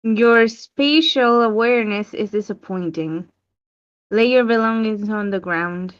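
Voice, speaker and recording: A slow, deliberate female trainer as a woman reads her in a script read-through, stern and goading, giving curt orders. Her pitch sits in the middle, and the audio is dull and lo-fi. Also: close to an everyday voice. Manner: curt, commanding. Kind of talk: screenplay table read. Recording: dull lo-fi online meeting audio.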